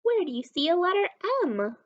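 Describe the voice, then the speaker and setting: A woman reading a story aloud to young children as a teacher, animated and encouraging, talking directly to her listeners. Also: very high voice, a little fast, dull audio. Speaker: children's story time reader and literacy teacher.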